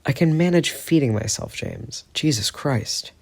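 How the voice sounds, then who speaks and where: A man's voice: medium pitch, animated, a little slow, vocal fry, voice pushed up. A man voicing a defiant, guilt-ridden teenage boy who talks defensively and stammers when upset.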